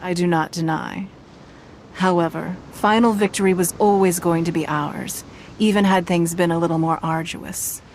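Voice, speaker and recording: A woman voicing a proud, powerful ruler in a game who makes measured, stately speeches. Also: on the low side, steady tone, darkish tone, a little hiss or hum, lightly acted. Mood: regal. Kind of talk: English game voice acting.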